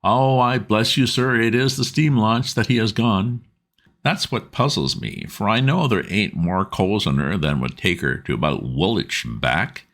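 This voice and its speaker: A man's voice: fairly low pitch, expressive, a little slow, fairly bright tone, pitched above the performer's own voice. A man voicing a stout, red-faced, working-class boatman's wife who rambles anxiously in homely dialect.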